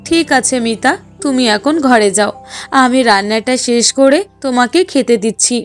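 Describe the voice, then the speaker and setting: A woman plays a meek young daughter-in-law in a cartoon, with a high voice. She speaks politely and placatingly, with music in the background. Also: normal speed, lightly acted. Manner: mild, placating. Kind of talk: Bengali cartoon dialogue.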